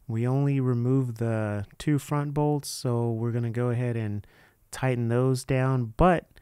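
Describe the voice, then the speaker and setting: A man's voice: mid-range voice, a little mellow, smooth, no room sound, clean studio sound. A man narrating a hands-on how-to in an informal, unscripted way, explaining each step casually. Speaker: presenter of a DIY how-to video.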